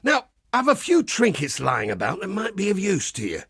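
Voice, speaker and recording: Gravelly, zealous crusading holy warrior. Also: male voice, high-pitched, huge pitch swings, moderate pace, a bit bright, no room sound, studio quality, heavily acted. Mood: steady, comradely. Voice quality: gravelly, rasping. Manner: preacherly, blunt, wry, archaic turns of phrase. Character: zealous battle-hardened crusading holy warrior, grimly cheerful, foul-mouthed. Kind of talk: theatrical video game character dialogue.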